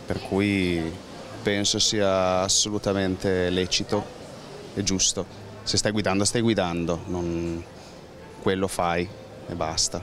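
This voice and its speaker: A man's voice: low-pitched, flat delivery, slow pace, crowd noise. A man giving blunt, dismissive opinions to a street interviewer, outdoors into a handheld mic. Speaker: passerby interviewed. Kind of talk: casual opinion. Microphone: handheld microphone.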